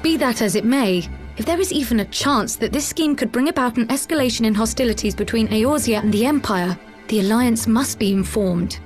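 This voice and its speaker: A fairly high voice from an actress plays a gentle young healer in a fantasy game. She is sensible and measured, with light music underneath. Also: close to an everyday voice. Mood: serious.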